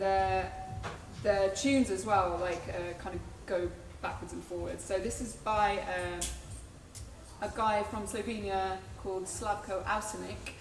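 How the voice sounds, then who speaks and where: Chatty woman introducing a tune on stage. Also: mid-range voice. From England. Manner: chatty, hesitant with many fillers. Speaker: folk musician. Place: live music venue. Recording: echoey room, distant mic, background noise.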